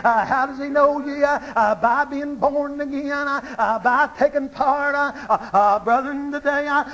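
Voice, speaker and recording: A man's voice: very high voice, a bit bright, rough recording. A man preaching a sermon in a rhythmic, chanted style. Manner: rhythmic preaching, constant "uh" between phrases. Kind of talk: chanted old-time sermon.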